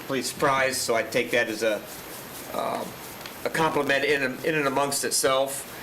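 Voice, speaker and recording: A man in his fifties with a big, loud voice, a veteran giving casual, grateful thank-you remarks at a county meeting. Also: medium pitch, moderate pace, noisy background. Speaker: veteran receiving a proclamation. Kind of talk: informal thank-you speech. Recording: roomy chamber with crowd around.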